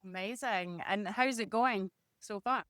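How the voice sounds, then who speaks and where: A woman's voice: medium pitch, unhurried, a bit bright, clear, resonant tone, dry recording, clean studio sound. A woman hosting an interview podcast, introducing her guest and asking questions.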